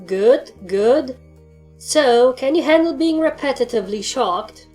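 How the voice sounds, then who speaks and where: A woman's voice: sits high, animated, a little slow, a little mellow, pitched above the performer's own voice. A woman voicing a stern, easily annoyed mare who commands a squad of ponies, speaking in a commanding, matter-of-fact way over music in a story reading. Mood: businesslike questioning.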